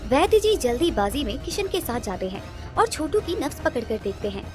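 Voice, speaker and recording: A female narrator tells a scripted story. Her voice sits fairly high, and music plays faintly behind her. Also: very animated, a little fast, a little mellow.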